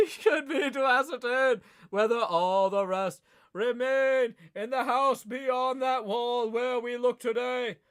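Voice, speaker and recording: High-pitched slow old professor, smooth clear voice, grave and deliberate, read by a man. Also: a bit bright, exaggerated voice. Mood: planning. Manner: measured, solemn, deliberate. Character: elderly scholarly professor leading vampire hunters. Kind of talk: dramatic reading aloud.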